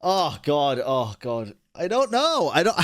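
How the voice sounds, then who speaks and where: A man's voice: a little high, no room sound, clean studio sound. A man reacting casually to a video, laid-back and slangy, swearing a bit.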